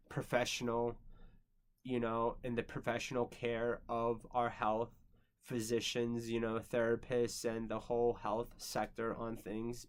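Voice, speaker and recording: A man in his thirties, born in the Philippines and not a native English speaker, rambling through a casual personal monologue with many fillers and some swearing. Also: mid-range voice. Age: 30s. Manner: rambling, filler-heavy, frequent "you know" and "um". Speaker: creator answering viewer questions.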